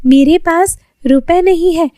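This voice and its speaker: A woman voicing a kind, humble, poor young seamstress girl in a children's story, with an earnest, expressive manner. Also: very high voice, measured pace, no echo, studio quality, voice pushed up. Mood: hesitant, humble.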